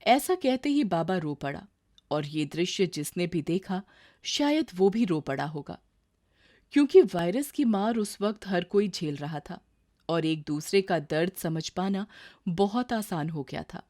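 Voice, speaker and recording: A woman's voice: fairly low pitch, lively intonation, unhurried, warm tone. A woman reading a scripted story as its narrator.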